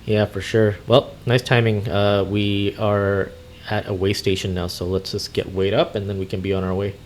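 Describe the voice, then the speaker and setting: A man with a fairly low voice talks casually, as if improvising a roleplay while gaming. He is halting and often repeats words as he thinks, with some noise in the background. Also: level tone. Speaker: gamer, video host roleplaying a truck driver.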